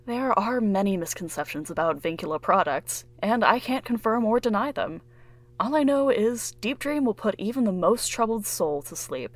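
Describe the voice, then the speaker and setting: An actress playing a polished shop clerk who sells devices with practiced cheer. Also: female voice, medium pitch, lively intonation, moderate pace, lightly acted. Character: smooth female shop clerk selling devices. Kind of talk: audio drama acting.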